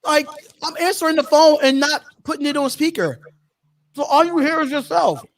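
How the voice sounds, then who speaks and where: Very high-pitched man chatting on a livestream. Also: animated, moderate pace, no room sound. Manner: repeats phrases, slangy. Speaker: host of a sports call-in show. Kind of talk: casual live stream chat.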